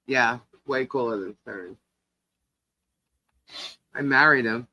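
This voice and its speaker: Bright, teasing woman hosting a live chat. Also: very deep voice, dry recording. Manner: teasing, chatty, quick to laugh. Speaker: livestream co-host.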